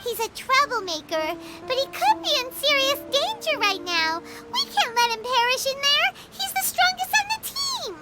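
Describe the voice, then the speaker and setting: A woman voicing a tiny, cheeky, excitable fairy companion in a video game, with a squeaky childlike voice. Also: moderate pace, few pauses, very noisy, full character voice. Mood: concerned. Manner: excitable, speaks of herself in third person. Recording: prominent music behind the voice.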